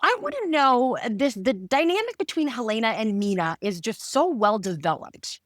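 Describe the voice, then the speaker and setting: Enthusiastic woman podcast host, high-ish voice, dry sound. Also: big pitch swings, moderate pace, fairly bright tone, no echo. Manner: enthusiastic, chatty. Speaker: podcast host interviewing show creators. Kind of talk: casual podcast interview.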